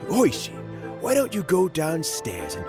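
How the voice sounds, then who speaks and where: An actor playing a kindly, dignified elderly statesman in a video game, weary with age and speaking in measured, formal phrases. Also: a little high, wildly swooping pitch, music in the background, heavily acted. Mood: warm, welcoming. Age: older.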